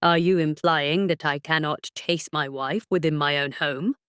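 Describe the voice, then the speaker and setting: Lady doing a smug duke, lowish voice, teasing, formal, feigning innocence, audiobook romance. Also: a little slow, fairly bright tone, clear, resonant tone, dry recording, clean studio sound. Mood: challenging. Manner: playfully indignant, formal.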